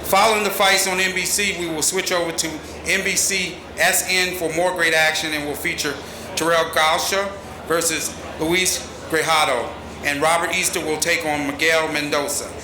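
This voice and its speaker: A man's voice: on the high side, steady tone, normal speed. A middle-aged man reading scripted announcements as emcee at a podium. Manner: formal, scripted delivery. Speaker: press conference emcee. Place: large arena press conference stage. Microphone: podium microphone. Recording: echoey hall with noise.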